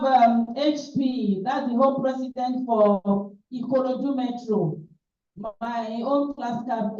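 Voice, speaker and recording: A woman moderating a club meeting, brisk and courteous, thanking the speakers. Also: fairly high pitch, mellow. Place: meeting room. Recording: echoey room, distant dull sound.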